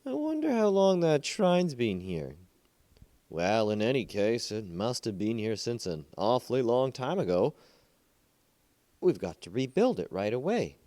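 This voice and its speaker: Guy doing a worried puzzled village man, earnest, pitch jumps around, storybook. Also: very animated, a little slow, dark tone, no echo. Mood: concerned, puzzled. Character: concerned, puzzled village men talking things over.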